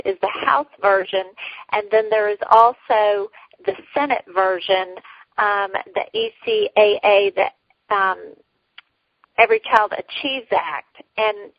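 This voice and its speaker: A woman hosting a conference call by phone, explaining conversationally in a somewhat halting way. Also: mid-range voice, dry recording. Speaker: host. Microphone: telephone. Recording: dull lo-fi phone conference line.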